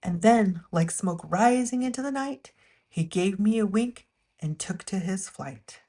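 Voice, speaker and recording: Female storyteller, mid-pitched, for expressive read-aloud narration of rhyming children's picture books. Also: unhurried.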